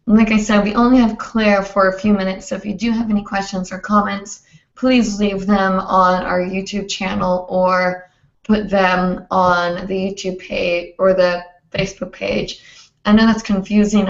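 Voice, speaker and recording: A young woman hosting a hoop dance livestream, hoarse and chatty, rambling with many fillers. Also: medium pitch. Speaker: host. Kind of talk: casual livestream chat. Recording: roomy, dull lo-fi stream audio.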